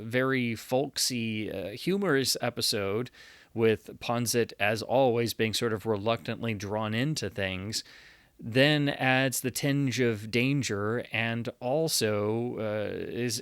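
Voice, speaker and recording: Clear-voiced man giving an earnest review. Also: mid-range voice, dry recording. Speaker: reviewer, radio series critic. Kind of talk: review commentary, semi-scripted monologue.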